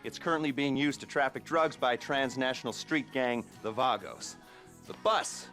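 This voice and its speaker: A man's voice: mid-range voice, fairly bright tone, lightly acted. Guy playing a edgy heist organizer in a game cutscene, kinda sardonic and evasive, music playing behind. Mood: brisk, businesslike. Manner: hesitant hedging mixed with brisk, sardonic briefing. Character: jittery, cagey male heist planner giving mission briefings. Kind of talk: naturalistic video game cutscene acting.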